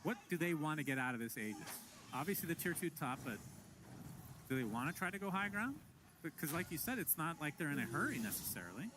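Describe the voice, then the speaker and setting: A man casting a live esports match, calling the play quickly and with excitement, and laughing often. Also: on the high side, music in the background.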